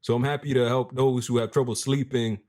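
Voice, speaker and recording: Young male host with a deep voice, hyped and casual, slang-heavy, talks over what he reads. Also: a little fast. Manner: animated, hype-driven, street slang, restarts mid-phrase. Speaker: crypto video host. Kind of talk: casual commentary reading from a web page.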